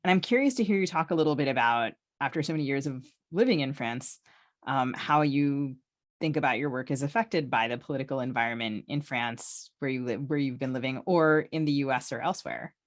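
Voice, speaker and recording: A woman's voice: very deep voice, brisk. A woman co-hosting a podcast, asking a guest a question in a conversational, thinking-aloud way. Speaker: podcast co-host, interviewer.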